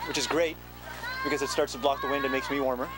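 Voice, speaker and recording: Male sideline commentator, easygoing and wry, middle-pitched voice, loud background noise. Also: quick pace. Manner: relaxed, joking, rambling with fillers. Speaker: play-by-play commentator. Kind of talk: casual sports commentary. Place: outdoor sideline of a rainy field. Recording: noisy outdoor recording.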